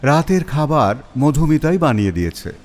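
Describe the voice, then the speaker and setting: Animated male story narrator. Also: on the high side, normal speed, a little hiss or hum. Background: faint music.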